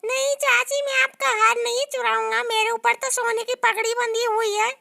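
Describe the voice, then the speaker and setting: A woman's voice: very high voice, level tone, normal speed, creaky, sharp tone, pitched above the performer's own voice, exaggerated voice. A woman voicing a polite, earnest little boy in a comic sketch. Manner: pleading, earnest.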